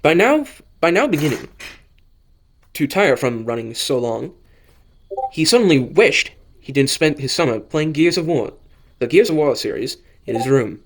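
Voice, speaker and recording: A man reading a scripted story as narrator, haltingly, often stumbling and rereading phrases. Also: a little high, sing-song. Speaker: narrator of an amateur audio drama.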